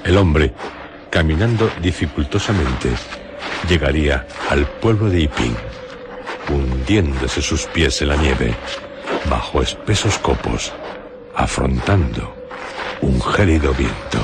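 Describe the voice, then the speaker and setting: A man narrating a radio drama as a solemn storyteller, in deliberate, carefully separated literary phrases. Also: extremely low pitch, animated, loud music behind the voice, exaggerated voice. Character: solemn, measured male storyteller.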